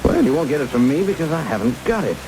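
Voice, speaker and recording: A man's voice: a little high, sing-song, fast talker. A man playing a guarded, stubborn government courier in a vintage radio drama, with a dry, skeptical manner, on an old broadcast. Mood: sardonic. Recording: old radio broadcast under heavy rain noise.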